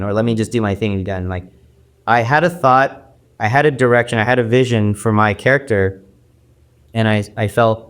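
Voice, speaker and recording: Man, podcast guest, mid-pitch, chatty and rambling with lots of fillers. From United States. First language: Mandarin. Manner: rambling, many fillers and restarts. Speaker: actor guest. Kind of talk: casual interview talk.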